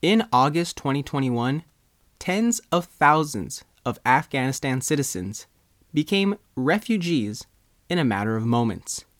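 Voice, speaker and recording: A man reading a scripted news story as a reporter, in measured, short phrases. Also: mid-range voice, dry recording, studio quality. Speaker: news reporter and writer reading own article.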